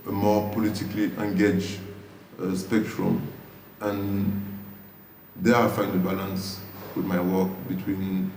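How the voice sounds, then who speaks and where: A man with a French accent speaks in a very low, gravelly voice, slow and reflective, often restarting his phrases. His dark, mellow tone sounds a little distant from the mic. The room adds a clear echo and a faint hiss. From Guadeloupe. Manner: slow, thoughtful, restarts phrases while searching for words. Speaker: artist interviewee. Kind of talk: reflective interview answers.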